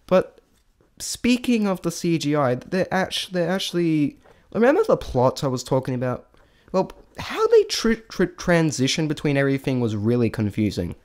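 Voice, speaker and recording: A man's voice: fairly high pitch, sing-song, moderate pace, darkish tone. A man giving an unscripted spoken review in a rambling, hesitant way, often stammering and breaking off mid-thought. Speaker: amateur reviewer sharing opinions.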